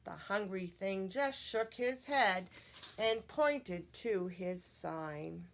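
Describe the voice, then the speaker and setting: A woman giving an expressive, animated read-aloud of a children's storybook. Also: medium pitch, slow, gravelly, a little hiss or hum. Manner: expressive, addresses children, puts on character voices. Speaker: storybook reader.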